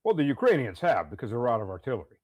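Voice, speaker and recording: Male interview guest, mid-pitched, animated melody, hesitant delivery, very dry close sound. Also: fast, dry recording. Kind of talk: spontaneous interview talk.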